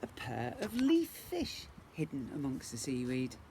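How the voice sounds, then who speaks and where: A man reading a picture book expressively as a teacher for young children, pausing to ask listeners questions. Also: medium pitch, huge pitch swings, a little mellow, slight background noise. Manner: questioning, engaging asides to listeners.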